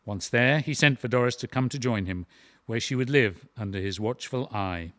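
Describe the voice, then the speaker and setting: A man's voice: mid-range voice, moderate pace, a bit bright, dry recording, studio quality. A man narrating a historical audio drama from a script, in documentary style.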